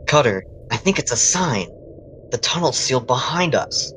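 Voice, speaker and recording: A man's voice: medium pitch, moderate pace, a little hiss or hum. A man playing a young elf stargazer, a loyal and curious friend, speaking earnestly in a dramatic comic dub. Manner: expressive, earnest. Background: loud music.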